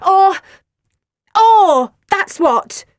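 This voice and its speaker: A woman's voice: very high-pitched, expressive, extremely slow, no room sound, clean studio sound, pitched above the performer's own voice, heavily acted. A woman reading aloud, voicing an angry teenage girl who snaps and stammers. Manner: snapping, stammering. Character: cross, flustered teenage girl.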